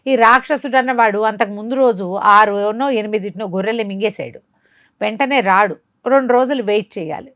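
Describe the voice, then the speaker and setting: A woman telling a bedtime tale in an animated, conversational way, dramatically and with rhetorical questions. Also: medium pitch, fairly quick, dry recording. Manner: dramatic, asks rhetorical questions as she narrates. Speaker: storyteller.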